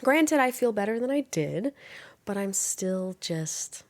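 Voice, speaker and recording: A woman's voice: mid-range voice, animated, slow, a little mellow. A young woman hosting her own podcast in casual, rambling chat, sounding stuffy and congested from a sinus infection. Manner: chatty and rambling, with frequent fillers and little laughs. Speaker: podcast host.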